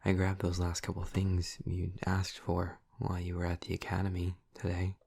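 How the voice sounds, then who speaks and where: A man playing a gentle, awkward, affectionate vampire in an intimate audio roleplay, speaking softly and breathily with hesitant, halting tenderness, close to the mic. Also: low-pitched, completely flat delivery, dry recording, heavily acted. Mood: shy, tender. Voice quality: soft, breathy, husky. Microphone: close, dry microphone.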